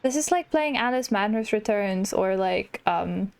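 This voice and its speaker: A woman's voice: mid-range voice, animated, a little slow, gravelly, darkish tone, smooth. A woman hosting a gaming channel, commenting casually on play in a reflective, empathetic way. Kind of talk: casual game commentary, reading dialogue aloud.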